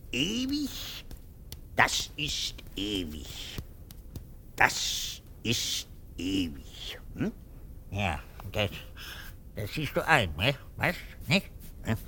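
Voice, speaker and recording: A man's voice: on the low side, huge pitch swings, very slow, pauses often, sharp tone, slight background noise, heavily acted. A man playing a pompous, anxious, condescending army captain in a theatrical, patronizing way in a 1950s radio drama. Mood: brooding, fearful. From Frankfurt am Main, Germany. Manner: theatrical, patronizing, moralizing, swinging from murmur to squeal.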